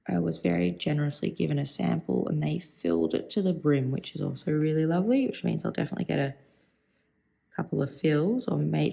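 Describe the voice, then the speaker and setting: A woman with a croaky voice today, thinking aloud in a chatty, rambling review while she swatches ink at her desk. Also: low voice, darkish tone, rough recording. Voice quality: vocal fry. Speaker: stationery vlogger.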